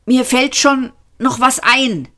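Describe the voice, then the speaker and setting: A woman's voice: high voice, takes it slowly, sharp tone, voice pushed up, exaggerated voice. A woman voicing a nervous, placating man in an audiobook, hesitant and trying to sound confident. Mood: unconvincingly reassuring. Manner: stammering, hesitant. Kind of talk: audiobook character voice.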